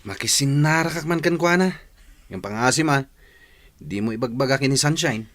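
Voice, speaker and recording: A man's voice: medium pitch, lively intonation, moderate pace, fairly bright tone, dry recording, lightly acted. An actor playing a cocky, evasive young ladies' man in an Ilocano radio drama. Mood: sly, excited. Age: young adult.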